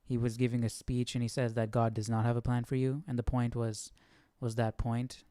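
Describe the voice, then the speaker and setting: A man's voice: mid-range voice, flat delivery, moderate pace, mellow, no room sound, studio quality. A man hosting a livestream Bible study, chatting casually and thinking aloud with lots of questions. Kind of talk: casual, musing chat.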